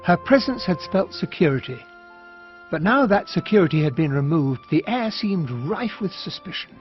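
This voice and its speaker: With music behind and a slightly dull sound, a courteous British army officer in a radio mystery. His genteel voice sits fairly high and moves expressively. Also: expressive, moderate pace. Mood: uneasy. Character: courteous, observant army officer narrating a country-house mystery. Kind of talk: period radio drama narration and dialogue.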